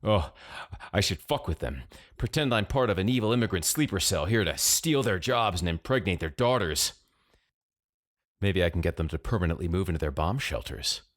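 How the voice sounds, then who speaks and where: A man's voice: low-pitched, expressive, normal speed. A man voicing a mischievous, amused young American prankster with a casual, sweary, teasing manner in an audiobook story. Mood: mischievous glee.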